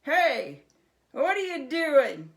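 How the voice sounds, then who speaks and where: Woman voicing a squeaky, tiny blustering goblin. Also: big pitch swings, drawn-out words, creaky, full character voice. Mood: cocky, scolding. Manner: blustering, stammering when scared. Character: tiny winged male goblin, cocky pipsqueak, easily frightened. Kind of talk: acted children's storybook reading.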